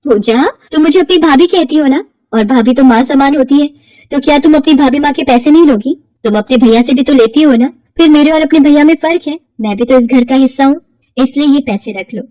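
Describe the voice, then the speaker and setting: A woman voicing a gentle, dutiful young bride who speaks earnestly and respectfully in a cartoon story. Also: high-pitched, fairly quick, dry recording, lightly acted, rough recording. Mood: kindly insistent.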